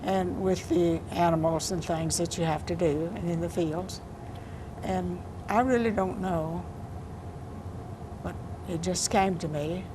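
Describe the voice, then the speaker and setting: An older woman speaks in a soft, unhurried way, giving plain answers about her writing. Her voice sits a little low. A clear hum of background noise runs under the recording. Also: fairly even intonation. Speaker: interviewed poet. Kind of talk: casual interview answers. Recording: noisy background.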